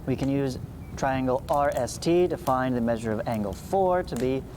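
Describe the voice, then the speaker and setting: A man's voice: medium pitch, moderate pace. A man in his thirties teaching a math lesson, reading aloud and adding informal explanations with little check-ins. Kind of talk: read-along geometry lesson with explanatory asides. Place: small indoor room, seated before a green screen. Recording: clear steady background noise.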